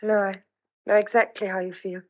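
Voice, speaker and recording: An actress playing an earnest, caring and quietly persistent young country woman who questions others in an old-fashioned radio drama. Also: medium pitch, flat delivery, a little fast, lightly acted. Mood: friendly, curious. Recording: dull lo-fi radio drama recording.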